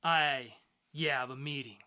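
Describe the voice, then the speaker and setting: A man's voice: medium pitch, normal speed, bright, forceful tone, clean tone. A man acting friendly, casual male bar characters in a comic book dramatic reading, with colloquial, familiar talk.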